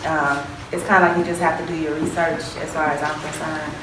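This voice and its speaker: A young woman with a very low voice speaks with confident conviction on a panel. She sounds distant, set in a roomy, echoing hall with noticeable background hiss. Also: flat delivery, a little fast. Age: young adult. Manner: confident, opinionated, repeats "as far as I'm concerned". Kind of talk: panel answer. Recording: distant echoey hall recording with background noise.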